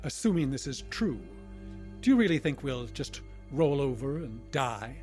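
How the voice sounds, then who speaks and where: A man's voice: medium pitch, very animated, normal speed, a little mellow, faint music behind, lightly acted. An actor playing a defiant human man confronting an alien enemy in an audio drama, with terse, challenging delivery. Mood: defiant, wary.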